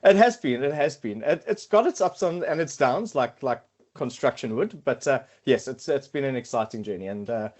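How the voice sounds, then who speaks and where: A man's voice: medium pitch, lively intonation, moderate pace, a little mellow. A middle-aged man with a South African accent, a podcast guest talking casually and hesitantly, with many fillers and restarts. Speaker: podcast guest, quantity surveyor. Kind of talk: casual interview chat.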